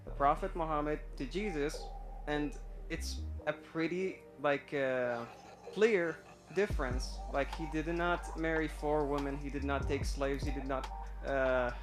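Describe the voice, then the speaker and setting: Hesitant young man with a higher voice. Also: unhurried, over music. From Iraq. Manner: hesitant, full of fillers and restarts; nervous, admits stage fright. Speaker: caller on a live stream. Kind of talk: casual personal story.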